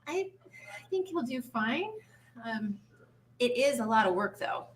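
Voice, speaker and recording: A woman's voice: fairly high pitch, very animated, measured pace. A woman playing a friendly, slightly nervous small-town woman in a table read of a comedy series. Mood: friendly, unsure. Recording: slightly distant mic, faint noise.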